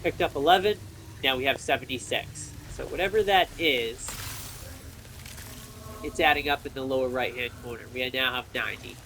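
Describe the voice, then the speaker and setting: A man's voice: medium pitch, moderate pace, sharp tone, slight background noise, poor audio. A man giving casual gameplay commentary, thinking aloud and explaining each step to viewers. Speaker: video game player and commentator.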